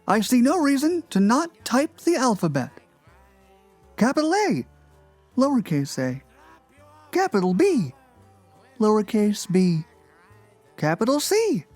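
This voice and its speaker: A woman playing a lonely, morose cartoon blogger in a dramatic reading, deadpan and oddly earnest. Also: fairly high pitch, exaggerated voice. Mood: dogged recital. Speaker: performer in a podcast dramatic reading. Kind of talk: dramatic reading of a comic blog.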